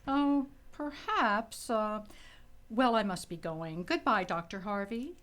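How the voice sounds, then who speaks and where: A woman's voice: mid-range voice, expressive, fairly bright tone. Nosy, swooping society lady. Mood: arch politeness. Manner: arch, gushing, drawn-out. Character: rich, nosy society lady, gushing and catty. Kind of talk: radio play acting.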